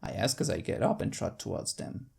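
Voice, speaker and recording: A narrator reading scripted first-person fiction in character, with deliberate, dramatic phrasing. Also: darkish tone. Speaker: fanfiction narrator.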